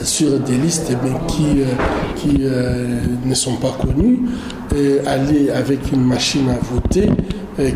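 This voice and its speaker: A man giving political commentary in an interview, speaking deliberately and often searching for words. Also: mid-range voice. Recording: roomy echo, clearly noisy background.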